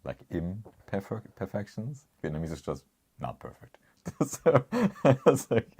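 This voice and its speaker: A man's voice: on the low side, very animated, slow, warm tone, breathy edge. A man co-hosting a casual chat, reading viewer comments, rambling with frequent chuckles. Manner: rambling, chuckles often, restarts. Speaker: co-host.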